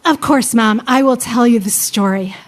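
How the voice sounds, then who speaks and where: A woman's voice: on the high side, moderate pace, close to an everyday voice. A woman playing a polite, flustered young woman who runs a storytelling on stage. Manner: polite and fussing, many thank-yous. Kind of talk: comedic stage skit.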